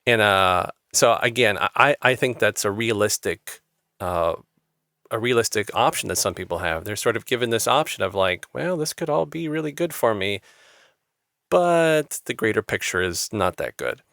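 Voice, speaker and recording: A man hosting an interview podcast, talking in a halting way with many restarts and fillers. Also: on the low side, lively intonation, gravelly, fairly bright tone, dry recording, clean studio sound. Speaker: podcast host. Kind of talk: interview chat.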